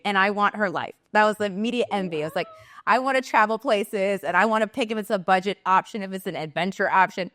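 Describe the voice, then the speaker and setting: A woman's voice: mid-range voice, sharp tone, dry recording. Effusive woman podcast host, dry sound. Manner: enthusiastic, effusive. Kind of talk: casual interview chat.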